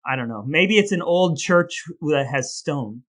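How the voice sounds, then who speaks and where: A man's voice: a little high, animated, unhurried, a bit bright. A man co-hosting a casual comic podcast, playfully spinning absurd ideas in a matter-of-fact way. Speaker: podcast co-host.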